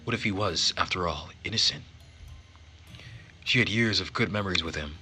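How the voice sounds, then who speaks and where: A man's voice: low voice, normal speed, sharp tone, slight background noise. A man reading a visual novel aloud as dramatic narration during a playthrough.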